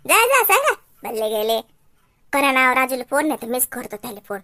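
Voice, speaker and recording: High-pitched nagging wife played by a woman, Vidarbha Marathi. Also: very animated, fairly quick, bright, forceful tone, exaggerated voice. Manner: scolding, taunting, complaining. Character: nagging, sharp-tongued village wife. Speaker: comic cartoon character. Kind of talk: comedy cartoon dialogue.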